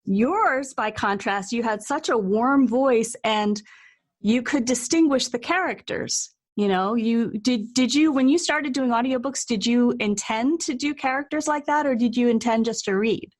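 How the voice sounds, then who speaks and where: A woman's voice: fairly high pitch, measured pace. A woman hosting a podcast interview in a casual, chatty way, telling stories and asking questions. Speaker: podcast host, author.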